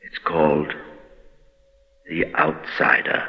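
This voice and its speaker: An actor playing a brooding keeper of horror tales, narrating in a solemn, theatrical old-time radio style. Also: male voice, very deep voice, monotone, slow. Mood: solemn, eerie. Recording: dull vintage radio, long reverb, faint music.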